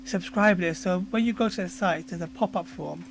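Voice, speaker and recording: A hoarse man reviewing a software tool, casually talking through a screen recording with frequent fillers. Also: sits high, normal speed, a little hiss or hum. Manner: relaxed, conversational, with frequent fillers. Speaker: product reviewer.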